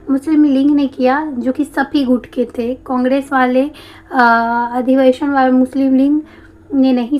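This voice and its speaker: A woman teacher with a slightly hoarse throat, explaining a history lesson step by step and answering her own questions. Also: sits high, muffled recording. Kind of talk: exam-prep history lesson.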